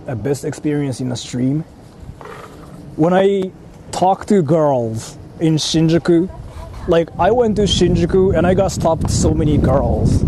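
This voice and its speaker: High voiced guy streaming outside, slangy and sweary, pitch jumps around, traffic noise behind. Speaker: livestreamer. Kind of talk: casual livestream chat while out in the city. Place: outdoors on busy city streets. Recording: noisy outdoor street with traffic. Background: cars and urban street noise.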